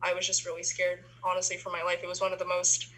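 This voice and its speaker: A woman's voice: fairly low pitch, completely flat delivery, fast, pushed and bright. A young woman from the Philippines, a university student, chatting casually in an interview with many ums and restarts. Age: young adult.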